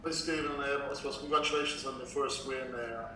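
A man's voice: medium pitch, steady tone, brisk, recorded from a distance, background noise. A male journalist asking a probing question at a press conference. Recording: echoey room, voice from off-mic.

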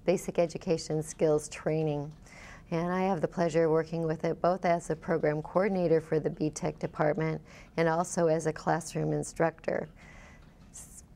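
A woman's voice: sits low, creaky, dark tone. A woman instructor speaking in an explanatory interview style. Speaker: college program coordinator and instructor.

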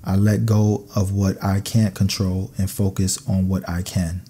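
Male narrator, low voice, very even intonation, calm guided affirmations, soft ambient noise behind. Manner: calm, soothing, measured delivery. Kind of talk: scripted sleep meditation affirmations.

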